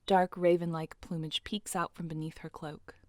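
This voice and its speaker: A woman's voice: lowish voice, flat delivery, normal speed, darkish tone, no echo, clean studio sound. A woman narrating an audio drama as scripted, dramatic storytelling.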